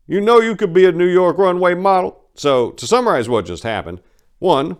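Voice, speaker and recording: A man narrating a crime story as a dramatic scripted reading. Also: on the low side.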